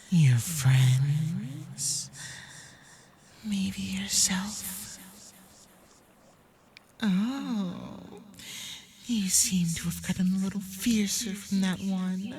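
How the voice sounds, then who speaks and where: A woman voicing a menacing, sadistic male fox spirit in an ASMR roleplay, taunting prey in a breathy, half-whispered manner. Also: mid-range voice, a little mellow, slight background noise. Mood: scornful, then threatening. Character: menacing femboy kitsune, sadistic forest predator mocking prey.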